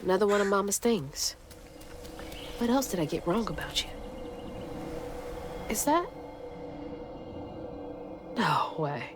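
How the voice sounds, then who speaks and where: A woman's voice: mid-range voice, animated, slow, pauses often, noisy background. A woman playing a plucky young Southern heroine in a video game, casual and frank in her delivery. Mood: reflective. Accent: American South. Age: young adult. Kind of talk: naturalistic video game acting. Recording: music under the voice. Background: game music.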